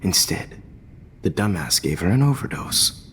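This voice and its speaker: A man's voice: low voice, big pitch swings, a little slow, a bit bright, slight background noise, heavily acted. A man voicing a smug, manipulative photography teacher in a video game, taunting and often whispering. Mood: menacing. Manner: taunting, mocking, drops into whispers.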